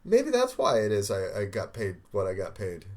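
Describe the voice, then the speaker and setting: A man with an American accent, a podcast co-host telling stories in casual chat, with quick asides and frequent restarts. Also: lowish voice, wildly swooping pitch, a little mellow.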